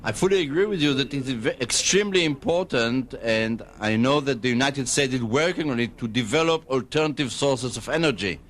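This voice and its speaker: Male official with Israeli accent, medium pitch, diplomatic and hesitant, answering formally in a radio interview. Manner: measured, diplomatic, halting with long hesitations. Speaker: embassy spokesman, radio guest.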